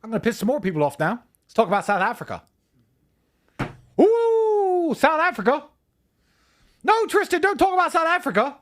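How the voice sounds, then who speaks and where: An angry man ranting to a live audience, his pitch jumping all over as he swears and hammers his points. He swings from loud outbursts down to low mutters, with a fairly high voice for a man. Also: big pitch swings, normal speed, long pauses. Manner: angry, profane, emphatic; swings between shouting and muttering. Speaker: livestream host.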